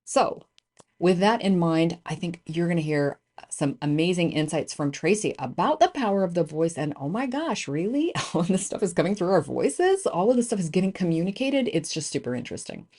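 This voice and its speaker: A woman's voice: fairly low pitch, big pitch swings, fairly quick, darkish tone. A woman hosting a business podcast, introducing the episode and her guest in an emphatic, chatty way with frequent fillers. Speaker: podcast host.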